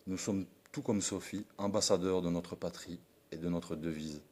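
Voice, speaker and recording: A man with a very low voice from France delivers a formal political address. He reads in an earnest, declamatory way and now and then stumbles and corrects a word. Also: fairly even intonation, moderate pace, dark tone, a little hiss or hum. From France (Perpignan). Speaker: political supporter.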